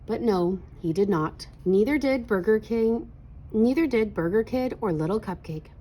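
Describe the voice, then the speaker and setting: A woman reads a children's story aloud. Her voice is medium in pitch and slightly husky, and the narration is expressive. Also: moderate pace, rough recording.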